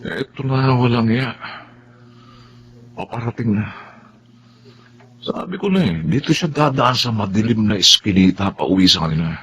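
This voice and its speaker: Bobet, matinis-tensiyong siga na mapaghiganti. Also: male voice, fairly low pitch, expressive, moderate pace, pauses often, fairly bright tone, a little hiss or hum. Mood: menacing. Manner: taunting, menacing. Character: Bobet, vengeful arrogant young male bully. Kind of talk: Filipino radio drama acting.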